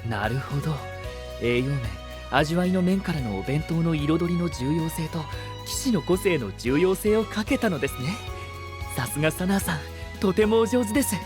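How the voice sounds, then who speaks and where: A polite, measured young knight who explains things in careful formal speech, as a man voices him. His voice sits slightly above the usual male range. Music runs under the scene. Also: fairly high pitch, lively intonation, natural voice. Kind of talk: anime voice drama acting.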